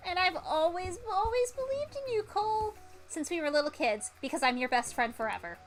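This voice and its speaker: A woman's voice: extremely high pitch, lively intonation, a little fast, faint music behind, voice pushed up. A woman voicing a devoted, bubbly girl best friend in a visual novel game, gushing and earnest. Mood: affectionate, eager.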